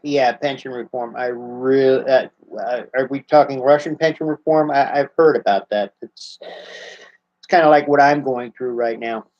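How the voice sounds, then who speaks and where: Laid-back American man in his fifties. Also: medium pitch. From United States. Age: 50s. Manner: relaxed, halting, many restarts and fillers. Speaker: livestream host answering viewer questions. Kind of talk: casual live Q&A chat. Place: small room at home, seated in armchair indoors. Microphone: webcam at close range. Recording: slightly dull lo-fi webcam audio.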